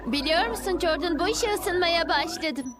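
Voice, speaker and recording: A woman voicing a feisty, defiant fifteen-year-old girl pilot in an animated series dub, with a sharp, cheeky delivery. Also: very high voice, unhurried, over loud music. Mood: proud.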